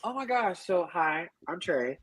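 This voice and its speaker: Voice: mid-range voice, lively intonation, a little slow, breathy edge, dry recording, low-quality recording. A podcast guest chatting casually, with a jokey, self-deprecating manner. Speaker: podcast guest, writers' club co-founder. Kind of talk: casual chat.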